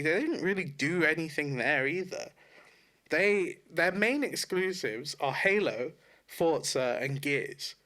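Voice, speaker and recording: A man's voice: high voice, bright, forceful tone. A man co-hosting a casual video game podcast, talking in a blunt, slangy way with some swearing. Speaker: podcast co-host. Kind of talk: casual podcast chat about video games.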